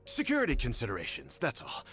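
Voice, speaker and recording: A man's voice: mid-range voice, wildly swooping pitch, quick pace, fairly bright tone, soft background music. A man playing a slippery, defensive scheming nobleman in a video game, evasive and obsequious. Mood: nervous, placating. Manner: obsequious, evasive, indignant when challenged. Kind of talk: English video game voice acting.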